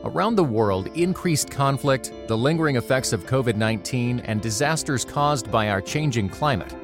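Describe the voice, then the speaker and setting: A man reading a scripted sponsor message in a smooth, measured way. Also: mid-range voice, expressive. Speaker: sponsor announcer. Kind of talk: scripted ad read. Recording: voice over guitar music.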